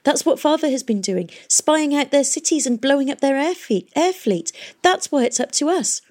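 A woman's voice: on the high side, expressive, a little mellow, voice pushed up. A woman voicing a distraught, resolute young woman in an audiobook story, pleading and emphatic. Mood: frantic determination. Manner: pleading, emphatic, rushing into plans.

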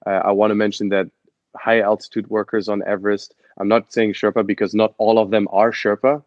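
German-accented young man, interview guest, halting storyteller, muffled dry audio. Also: on the low side, level tone, a little mellow, no echo. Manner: halting, many fillers and restarts. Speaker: guest, adventure photographer and expedition guide.

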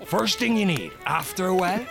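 Folksy moonshine guy, crackly high-ish voice, radio play, faint music. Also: lively intonation, brisk, gravelly. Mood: sly, genial. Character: sly, folksy frontier moonshiner. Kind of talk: radio drama acting.

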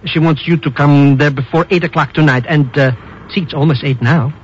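An actor playing a chatty, scheming hustler sidekick with slangy, wheedling talk in a 1950s radio drama. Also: male voice, on the high side, fairly even intonation, fairly quick, close to an everyday voice. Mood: coaxing. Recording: muffled, faintly noisy 1950s radio recording.

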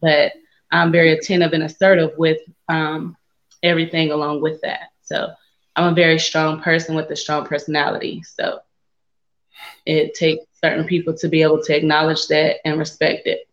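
A woman's voice: low-pitched, monotone, normal speed, muffled recording. A woman speaking firmly and confidently as a guest in a casual group discussion. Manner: firm, self-assured.

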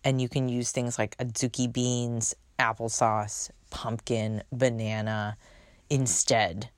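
A woman's voice: a bass voice, flat delivery, takes it slowly, no echo. A woman hosting her own podcast in a chatty, unfiltered way, with a soothing voice and casual swearing. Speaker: podcast host. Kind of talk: casual solo podcast chat.

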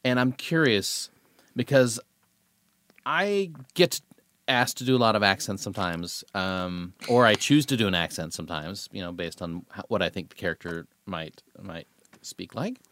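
A man's voice: fairly low pitch, moderate pace, a bit bright, dry recording. A man interviewing in a casual, conversational way, agreeable and a little hesitant in how he phrases things.

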